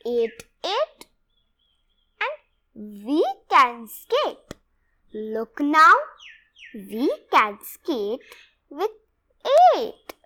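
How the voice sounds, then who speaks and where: Bright, high-pitched young girl reading aloud. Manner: expressive, deliberate word-by-word reading in short phrases. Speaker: read-along presenter. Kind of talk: read-aloud of a rhyming picture book.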